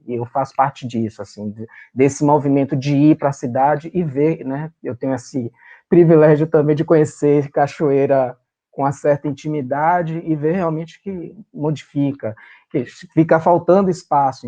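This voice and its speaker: A man from Salvador, a performer and writer, talking with emotion as a guest in an interview and often pausing with fillers to find his words. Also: mid-range voice, dark tone, highs cut off. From Salvador, Brazil. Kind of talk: remote interview, reminiscing.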